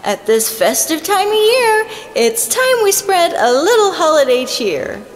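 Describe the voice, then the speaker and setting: A very high-pitched folksy stage entertainer. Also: female voice, big pitch swings, heavily acted. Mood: cheery. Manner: hammy, folksy, drawn-out. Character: perky folksy female stage entertainer with ukulele, corny and cheery. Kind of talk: audio drama stage patter. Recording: long hall reverb, faint music.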